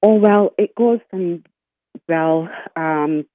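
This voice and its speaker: A woman in her sixties from Barbados, a guest talking in an interview, often halting and restarting her sentences. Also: fairly low pitch, no echo. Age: 60s. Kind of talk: interview conversation. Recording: tinny phone line.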